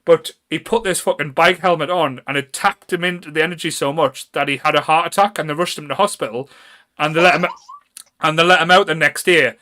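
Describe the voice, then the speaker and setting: A man's voice: fairly high pitch, brisk, sharp tone. A man with a North East English accent chatting casually as a podcast host, blunt, sweary and jokey.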